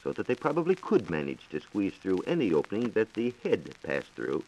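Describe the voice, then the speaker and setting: An American man explaining science in a measured, formal way on a 1940s radio program. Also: sits low, huge pitch swings, darkish tone, slight background noise, rough recording. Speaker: science reporter and radio co-host. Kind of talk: 1940s radio science talk, answering questions. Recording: 1940s radio transcription disc.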